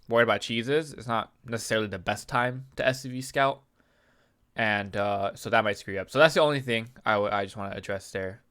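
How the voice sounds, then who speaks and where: A man who is sick and sounds a bit nasal, casually breaking down a game replay as a video host, often clearing his throat. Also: mid-range voice, a bit bright. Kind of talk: casual game analysis commentary.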